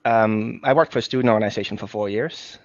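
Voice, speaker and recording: A man's voice: lowish voice, quick pace, no echo. A middle-aged Danish man with a Danish accent, telling his work history in a loose, rambling way with many fillers over a video call. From Denmark. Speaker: guest, talent sourcer. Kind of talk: casual video chat. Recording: dull lo-fi web call audio.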